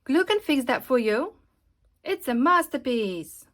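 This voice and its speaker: A woman's voice: high-pitched, big pitch swings, unhurried, very noisy. A woman voicing a helpful, modest female bottle of glue in a friendly, earnest way for a children's storybook.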